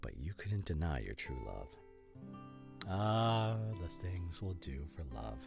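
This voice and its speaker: A low male voice acted by a man plays a self-satisfied detective unmasking a culprit in an audio drama. He is grandiose and deliberate, savoring dramatic pauses. His pitch swoops widely for effect, over faint plucked guitar. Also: big pitch swings, vocal fry, exaggerated voice. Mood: coolly condemning. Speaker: voice actor in an audio roleplay. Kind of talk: theatrical scripted ASMR roleplay monologue.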